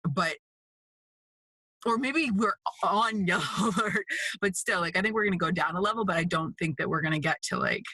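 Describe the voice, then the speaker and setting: Bright-voiced Canadian woman, dry close sound. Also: on the low side, sing-song, normal speed, slightly rough, no room sound, poor audio. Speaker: guest, mixed media artist. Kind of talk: casual conversational interview.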